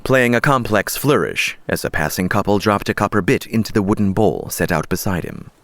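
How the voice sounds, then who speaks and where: Low-voiced male audiobook narrator. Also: brisk, dry recording. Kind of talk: scripted fiction narration.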